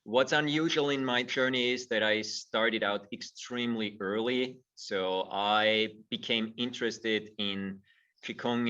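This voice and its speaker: A man's voice: fairly low pitch, pushed and bright, far from the mic, muffled recording. A middle-aged man from Austria, a guest in a conversational interview who speaks thoughtfully and repeats words as he starts his phrases. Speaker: guest, movement teacher.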